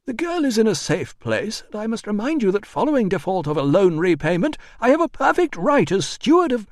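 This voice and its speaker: A man's voice: big pitch swings, fairly quick, no echo, clean studio sound. A man voicing a fussy, cowardly, pompous Roman administrator in an audiobook, officious and indignant in a strained voice. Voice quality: strained, high-pitched. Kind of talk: audiobook character voice.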